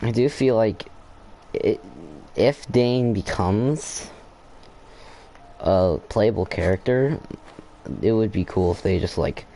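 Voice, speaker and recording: A man's voice: on the low side, slow, long pauses, warm tone, a little hiss or hum, low-quality recording. A man casually commenting while playing a game, rambling and thinking aloud with hesitations. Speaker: gameplay commentator. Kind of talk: casual let's play commentary.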